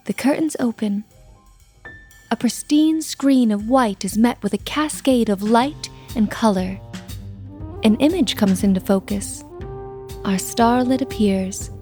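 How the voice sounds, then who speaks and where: An actress playing a poised, mysterious switchboard operator who narrates a vintage radio anthology in a formal, theatrical, deliberate manner. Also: fairly high pitch, a little mellow. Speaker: narrator and host of an anthology story.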